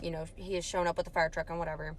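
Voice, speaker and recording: A school staff woman launches into a story with loud energy and quick pace. Her voice sits fairly low, a little off the mic, with faint ambience behind her. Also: flat delivery, a little hiss or hum. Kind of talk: comedic story time.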